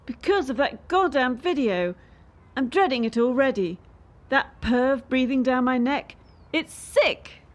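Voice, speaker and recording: A woman acting in a radio play as a bitter, defiant young elite gymnast under pressure. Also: high-pitched.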